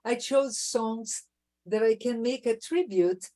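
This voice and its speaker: A woman's voice: fairly high pitch, slow pace, warm tone. A Brazilian woman singer with a Brazilian Portuguese accent, talking effusively in slightly broken English between songs. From southern Brazil. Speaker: guest singer. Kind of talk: casual chat between songs.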